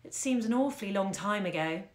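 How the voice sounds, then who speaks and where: A woman voicing an ill, fretful young wife with a hoarse voice in a read-aloud story. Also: mid-range voice, lively intonation, a little fast, natural voice. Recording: roomy echo.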